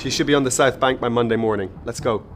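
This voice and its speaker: An actor in a radio drama playing a cold, ruthless male intelligence agent with clipped, deadpan delivery. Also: mid-range voice, quick pace, background noise, lightly acted. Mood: cold, flat.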